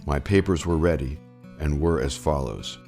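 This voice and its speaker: Very deep-voiced man narrating a memoir. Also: darkish tone. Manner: measured storytelling delivery with formal period wording. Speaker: audiobook narrator. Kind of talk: first-person scripted narration of a historical memoir. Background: plucked guitar music.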